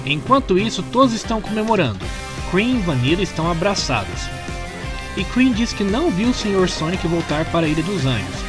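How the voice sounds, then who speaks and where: A man with a Brazilian accent reading scripted story narration in an expressive storytelling manner. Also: sits high, a little fast, music in the background. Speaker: narrator of comic recaps.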